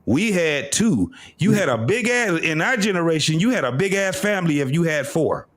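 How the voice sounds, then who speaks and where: A man's voice: a little high, big pitch swings, normal speed. A man in his fifties talking in a casual, heated panel discussion, explaining at length and repeating his points emphatically. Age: 50s.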